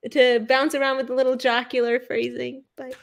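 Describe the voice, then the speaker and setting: A high-pitched woman tosses off puns in a playful, halting way, full of little fillers and giggles. She talks over a video call in quick-fire comedy banter. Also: level tone, gravelly. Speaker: pun contest competitor.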